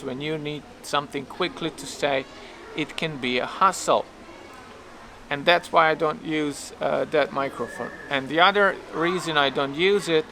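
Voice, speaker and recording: Bright-voiced Serbian-accented man thinking aloud. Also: on the high side, a little hiss or hum. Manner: hesitant, self-questioning, restarts often. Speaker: vlogger. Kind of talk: casual thinking-aloud vlog. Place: outdoors waiting for a taxi.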